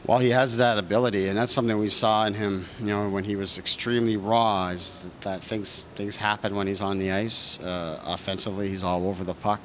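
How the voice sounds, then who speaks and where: Low, flat-toned hockey coach at the podium. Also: male voice, a little hiss or hum. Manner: measured, thoughtful, frequent fillers and restarts. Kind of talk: post-game press conference answers. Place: press room in front of a sponsor backdrop. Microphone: several reporters' handheld mics close in front.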